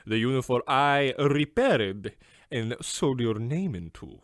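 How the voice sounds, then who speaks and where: A man's voice: medium pitch, animated, takes it slowly, dry recording. A man playing a haughty, blunt and grandiose shoemaker in a radio comedy, talking in a theatrical, pushy salesman's manner. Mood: blunt, exasperated. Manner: pompous, theatrical, swooping delivery. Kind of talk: old-time radio comedy acting.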